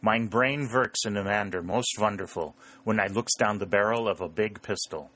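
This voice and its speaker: A man's voice: lowish voice, moderate pace, pushed and bright. Man doing a middle-aged farmer, broken English, sly and stubborn, mock-mournful, storybook character. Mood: wily, dry. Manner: broken English with foreign phrasing. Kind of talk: audiobook character voice.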